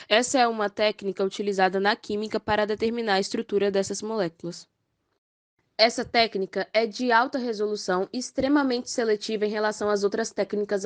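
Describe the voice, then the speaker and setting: A woman's voice: mid-range voice, close to an everyday voice. A woman with a Brazilian accent playing a formal, technical female forensic expert in a scripted educational cartoon.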